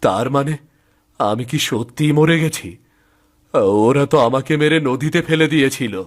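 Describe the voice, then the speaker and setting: পুরুষের অভিনয়ে আন্তরিক, নাটকীয় এক সৎ কৃষক. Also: male voice, mid-range voice, moderate pace, close to an everyday voice. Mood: bewildered. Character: wronged honest peasant farmer, back from death, vengeful. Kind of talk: Bengali cartoon story acting.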